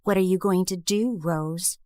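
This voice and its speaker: A woman's voice: lowish voice, unhurried, warm tone, no room sound, clean studio sound, pitched below the performer's own voice, natural voice. A woman voicing a bitter, spiteful young woman who sneers and spits accusations in a told story. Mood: cold.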